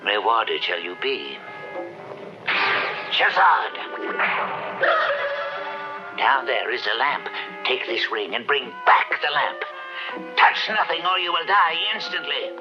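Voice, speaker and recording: A man's voice: mid-range voice, very animated, slow pace, pauses often. A man voicing an imperious, scheming evil sorcerer in a 1970s cartoon dub, with grand, menacing delivery. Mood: sly, ominous. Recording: dull lo-fi old TV soundtrack, music behind.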